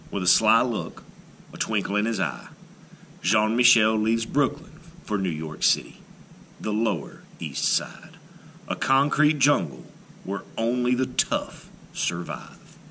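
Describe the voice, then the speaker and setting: Male storybook reader, lowish and forceful, dramatic phrasing with deliberate pauses. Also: sing-song, slight background noise. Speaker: art teacher reading a picture book aloud. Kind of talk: expressive children's picture book read-aloud.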